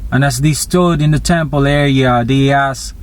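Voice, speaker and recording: A man reading scripture as a dramatized narration, deliberate and expressive. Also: medium pitch, clean tone. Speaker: scripture reader.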